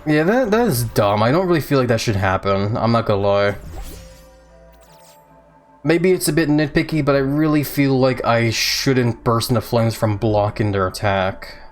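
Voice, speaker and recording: A man streaming a video game, chatting casually with his viewers as he plays, with chuckling asides and a slightly rough throat. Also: medium pitch, expressive, moderate pace. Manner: chatty, reads and answers chat while playing. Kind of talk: casual let's-play commentary. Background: faint game music.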